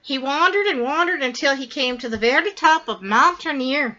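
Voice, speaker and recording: A woman reading a children's story aloud in an expressive, dramatic way, drawing out words for emphasis. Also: high-pitched, moderate pace, highs cut off. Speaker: storybook reader.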